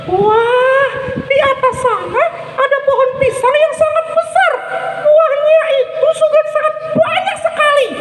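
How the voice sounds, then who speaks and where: An eager little storybook turtle, squeaking at an extremely high pitch. It is cheerful, wide-eyed and determined, full of exclamations, in an echoey hall with clear noise behind. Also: female voice, unhurried. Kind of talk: live children's storytelling character voice.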